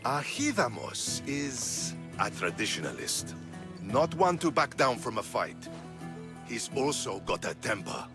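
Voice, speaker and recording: Measured, diplomatic Spartan general, lively inflection, video game cutscene over clear music. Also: male voice, mid-range voice. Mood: advising, cautionary. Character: loyal, measured male Spartan general advising a heroine. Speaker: game voice actor. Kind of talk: dramatic video game acting.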